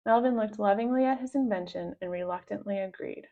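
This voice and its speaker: A woman reading a children's story aloud in an expressive storytelling style. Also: medium pitch, fairly quick, phone-quality sound. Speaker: storybook reader.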